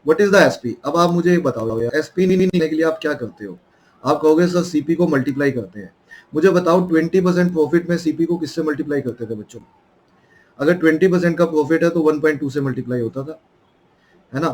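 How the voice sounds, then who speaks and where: A man's voice: a little high, brisk, a little hiss or hum. A young man with an Indian accent and a sore throat, teaching a math lesson in a coaxing way and calling his students children.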